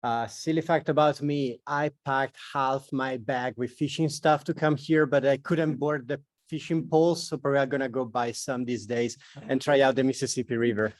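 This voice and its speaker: Male lecturer, mid-pitched, casual self-introduction, very dry sound. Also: fairly even intonation, moderate pace, few pauses, dry recording. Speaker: researcher and lecturer.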